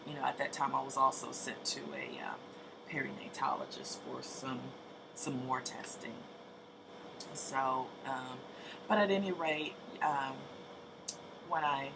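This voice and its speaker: Low, gravelly-voiced woman in her late thirties. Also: background noise. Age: 30s. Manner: soft-spoken, hesitant, many ums and restarts. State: tired. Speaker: vlogger. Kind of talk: casual personal update to camera.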